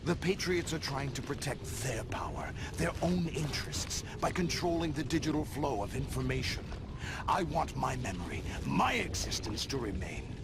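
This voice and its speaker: A man's voice: medium pitch, lively intonation, normal speed, gravelly, heavy background noise. A man playing a defiant revolutionary villain in a video game, declaiming grandly and with passion about his cause. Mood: brooding, resolute. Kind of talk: video game cutscene acting.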